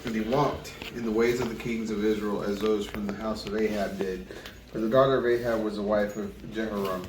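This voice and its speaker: Guy reading the bible out loud, pretty monotone, stumbles on names, echoey room with noise behind. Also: on the low side. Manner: plain, halting over names, self-correcting. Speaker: Bible reader. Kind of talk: reading scripture aloud at home, unpolished. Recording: roomy, distant mic, clear background noise.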